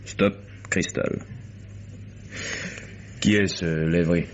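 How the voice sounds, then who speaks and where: An actor playing a wry, unflappable private detective in a French radio mystery, dry and sardonic in manner. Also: male voice, low voice, fairly even intonation, takes it slowly. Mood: businesslike. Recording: dull, noisy vintage radio recording.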